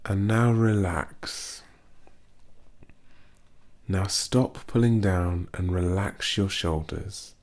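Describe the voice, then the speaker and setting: A man reading a guided muscle relaxation script in a slow, soothing, gently instructive way. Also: low-pitched.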